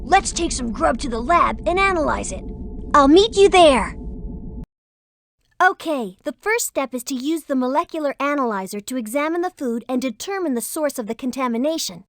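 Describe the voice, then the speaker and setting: A woman's voice: high voice, lively intonation, normal speed, no echo, clean studio sound. A woman playing a confident, take-charge young spy leader explaining missions in an educational cartoon game. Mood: instructive.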